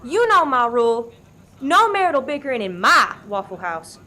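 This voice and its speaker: A woman's voice: a little high, sing-song, normal speed, pushed and bright. A woman playing a bossy, no-nonsense diner owner who scolds in a comic radio play. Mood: angry scolding.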